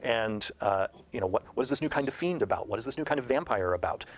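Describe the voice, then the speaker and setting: A man with a medium-pitched voice speaks as a panelist in an unscripted promotional discussion. He explains at length, stacking examples into long, winding sentences. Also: expressive, fast.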